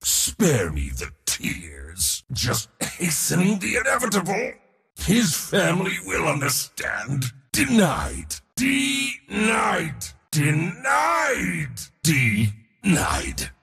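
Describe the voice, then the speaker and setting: An actor playing an arrogant, bombastic god of war in a video game, declaiming and gloating in a booming theatrical voice. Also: male voice, huge pitch swings, slow, creaky, bright, forceful tone, shouting, big-room sound, full character voice. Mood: smug mockery. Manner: booming, declamatory, gloating, short battle cries.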